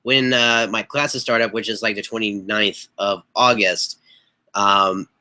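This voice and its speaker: A young man hosting a casual live chat on a webcam, hoarse and stuffy from a cold, rambling with fillers and clearing his throat. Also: lowish voice, fairly even intonation, a little slow, sharp tone. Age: young adult. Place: small bedroom with posters on the walls. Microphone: webcam mic at desk distance.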